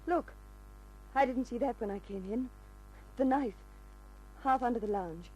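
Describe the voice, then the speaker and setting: Woman playing a breathy, dramatic radio femme fatale. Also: fairly high pitch, a little slow, warm tone, a little hiss or hum, close to an everyday voice, low-quality recording. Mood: anxious. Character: seductive, desperate glamorous female fashion model. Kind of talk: old-time radio crime drama acting.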